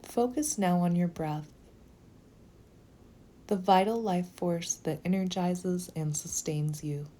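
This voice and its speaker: A woman leading a guided meditation in a slow, gentle, soothing manner, with phrases sometimes softening toward a whisper. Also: on the low side.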